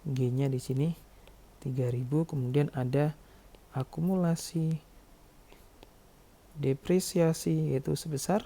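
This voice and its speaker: Guy doing a step by step tutorial, really mellow dark voice, bit of vocal fry. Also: a little high, steady tone, unhurried, pauses often. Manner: step-by-step, frequently checking with "ya". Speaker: accounting lecturer. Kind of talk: tutorial explanation of worked calculations.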